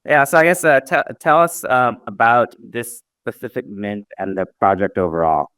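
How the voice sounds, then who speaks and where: A man's voice: medium pitch, normal speed, a bit bright, smooth, no echo. A man hosting a casual live audio chat, asking upbeat questions with lots of fillers.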